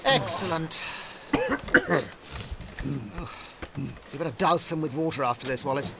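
A man acting a cold, condescending slave-trading ship captain in a radio drama, talking with slow emphasis. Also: a little high, heavy background noise, natural voice. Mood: haughty, patronizing.

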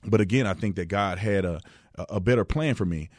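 Texas guy hosting his radio show, lowish voice, dry close studio sound, chatty and laid back. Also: a little fast, dry recording. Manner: relaxed, chatty storytelling with frequent restarts. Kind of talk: casual radio monologue.

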